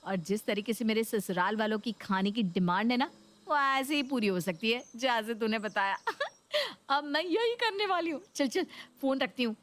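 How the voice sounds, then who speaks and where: A woman's voice: a little high, normal speed, a bit bright, lightly acted. A woman voicing a young Indian daughter-in-law, deferential yet fed up, explaining herself in a cartoon story. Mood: pleased. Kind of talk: Hindi cartoon story voice acting.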